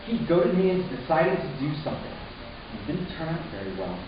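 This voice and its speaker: Man as troubled human youth, intense first-person storytelling, deliberate pauses, resentful, muffled sound, clear hiss behind. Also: fairly high pitch, big pitch swings. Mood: defiant, tense. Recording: distant, very echoey room, muffled, hissy.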